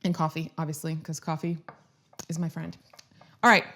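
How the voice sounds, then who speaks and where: A woman's voice: deep voice, flat delivery, normal speed, fairly bright tone, slight background noise. Chatty upbeat woman in her thirties. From Croatia. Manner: chatty and upbeat, rambling with restarts. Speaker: lifestyle video host. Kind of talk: casual live-stream chat.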